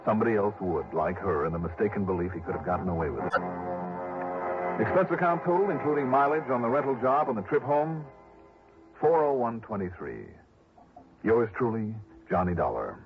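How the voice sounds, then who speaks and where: A man's voice: lowish voice, very animated, fairly quick, long pauses. An actor playing a grave, world-weary male investigator narrating his case in a 1960s radio drama. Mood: dry, businesslike. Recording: dull old radio recording, loud music.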